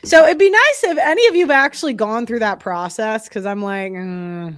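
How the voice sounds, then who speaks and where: A woman's voice: on the high side, lively intonation, normal speed. A young woman with a nasal voice and heavy breathing, chatting bluntly and casually to viewers as a podcast host. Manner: rambling, blunt, many fillers. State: breathing heavily.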